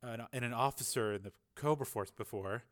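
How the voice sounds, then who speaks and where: A man voicing a quick-witted, nervy woman TV reporter in an audiobook, soft-spoken and smooth-talking. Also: medium pitch, close to an everyday voice. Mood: flirtatious.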